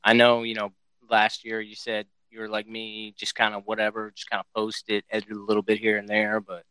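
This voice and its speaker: A man's voice: lowish voice, completely flat delivery, a little fast, bright, forceful tone, clean tone, no room sound, low-quality recording. A man hosting a podcast, chatting loosely and cursing, often restarting his sentences. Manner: loose, profane, rambling with restarts. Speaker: podcast host. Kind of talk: casual podcast chat.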